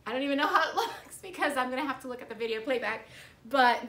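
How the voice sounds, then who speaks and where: A young woman teacher chatting casually to the camera in her classroom, upbeat and excitable. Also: high-pitched, fast talker. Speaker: fourth grade teacher and vlogger. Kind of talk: casual vlog chat. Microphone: camera propped nearby.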